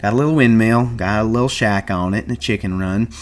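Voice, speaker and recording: A man's voice: lowish voice, fast, close to an everyday voice. A man voicing a shrewd, folksy ranch hand with plain rustic American speech in an audiobook story. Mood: matter-of-fact.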